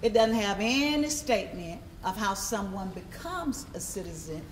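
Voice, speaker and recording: A woman's voice: medium pitch, animated, normal speed, noisy background. A woman performing a staged reading. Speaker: readers theatre performer. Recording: distant mic, echoey room with crowd.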